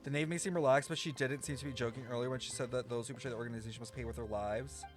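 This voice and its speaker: A man's voice: medium pitch, fairly even intonation, racing. A man giving casual live reactions while streaming a game, blunt, sarcastic and exclamatory, with some swearing. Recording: faint game music behind. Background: video game music.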